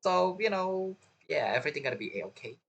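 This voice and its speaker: A man's voice: on the high side, sing-song, fairly quick, gravelly, a bit bright, breathy edge. A man giving casual gameplay commentary, musing in a rambling, informal way. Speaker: gaming video creator.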